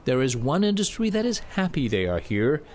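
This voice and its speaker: A man narrating a news report in a measured, scripted delivery. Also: mid-range voice, very animated, a little mellow, slight background noise. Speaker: news correspondent.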